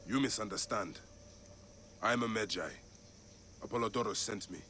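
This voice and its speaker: A man's voice: lowish voice, moderate pace, pushed and bright, slight background noise. An actor playing a stern male warrior in a video game, speaking in short, solemn phrases. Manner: terse, solemn.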